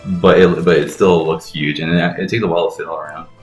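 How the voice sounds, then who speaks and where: A deep-voiced man chats casually through a video game, explaining things at a rapid clip and swearing freely. The room adds clear echo, and game music plays faintly underneath. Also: fairly even intonation. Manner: explanatory, profane. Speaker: let's play host playing and explaining the game. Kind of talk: casual gameplay commentary.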